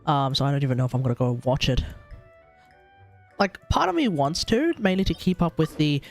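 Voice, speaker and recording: A man's voice: on the high side, expressive, brisk, soft background music. A man with an Australian accent hosting a game livestream in casual, rambling, hesitant chat. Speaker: livestream host, gamer.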